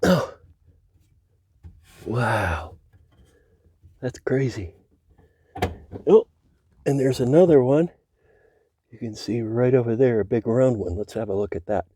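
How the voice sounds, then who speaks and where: A man's voice: medium pitch, animated, takes it slowly, long pauses, mellow, slight background noise. A man hosting a car hobby video, narrating his hands-on work casually, musing aloud and easily amazed. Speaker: host of a car hobby channel.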